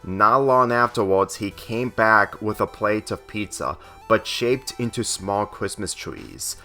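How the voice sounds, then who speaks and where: A man's voice: fairly low pitch, slow pace, pushed and bright. A man reading a scripted fan fiction story aloud as narrator, with occasional slips. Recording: music under the voice. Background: instrumental music.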